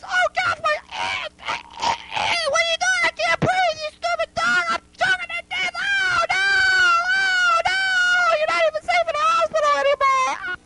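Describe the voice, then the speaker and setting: Puppet comedy doll: very shrill, sharp falsetto, whiny, panicky born victim. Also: male voice, flat delivery, normal speed, few pauses, heavily acted, rough recording. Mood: panicked, wailing. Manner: whiny, wailing, exclamatory. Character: squeaky, panicky, hapless male doll, forever a victim. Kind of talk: homemade puppet comedy skit.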